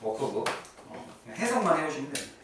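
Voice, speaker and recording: A man's voice: on the high side, very animated, fast. Male classroom English teacher, chatty, swinging intonation, recorded at a distance in a very reverberant room. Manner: chatty, checks in with students often. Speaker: English teacher at a private academy. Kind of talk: classroom lesson, explaining vocabulary and grammar. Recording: echoey room, distant mic, faint noise.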